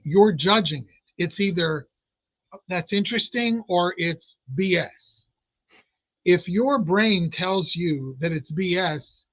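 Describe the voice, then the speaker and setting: A man's voice: high-pitched, pushed and bright, no echo, muffled recording. A man, a clinical hypnotist, explaining his work in a casual interview, with frequent fillers and restarts. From Italian American family.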